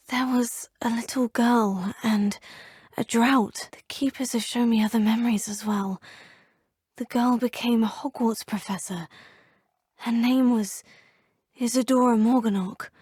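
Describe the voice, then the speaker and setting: Earnest young witch, polite and inquisitive, husky tone. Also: on the high side, darkish tone, no room sound, studio quality. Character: young female student witch, earnest and curious. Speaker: player character. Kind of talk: video game voice lines.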